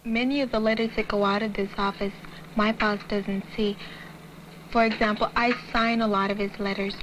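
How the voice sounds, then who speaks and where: A woman's voice: mid-range voice, fairly bright tone, slight background noise, rough recording. A woman working as a secretary, speaking in a documentary interview on an old training film soundtrack.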